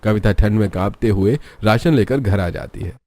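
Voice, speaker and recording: A man's voice: fairly low pitch, moderate pace, darkish tone. A man narrating a scripted story as its narrator.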